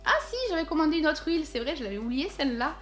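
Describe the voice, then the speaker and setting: A woman's voice: high voice, racing, a bit bright, faint music behind. A woman chatting casually while unboxing a parcel at home, thinking aloud with many fillers. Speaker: vlogger unboxing a package.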